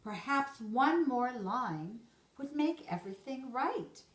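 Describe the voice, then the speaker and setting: A woman's voice: high-pitched, sing-song, slow. A woman reading a rhyming children's story aloud expressively, like a teacher to young pupils. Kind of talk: expressive storybook narration in rhyme. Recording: roomy echo.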